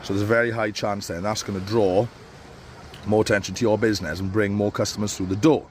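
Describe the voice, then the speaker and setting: A man's voice: fairly low pitch, steady tone, fast talker. A man with a cold, giving casual, rambling advice to barbers from a beach. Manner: rambling, with many fillers and restarts. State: stuffy with a cold. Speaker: barber coach. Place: outdoors on a beach. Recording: faint outdoor noise.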